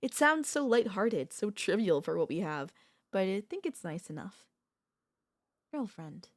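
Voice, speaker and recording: A woman voicing a centuries-old vampire mistress, a tender, possessive girlfriend, speaking softly and intimately with courtly wording and hesitant pauses in an ASMR roleplay. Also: medium pitch, very animated, no room sound, studio quality. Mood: tender, confiding. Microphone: close studio microphone, dry.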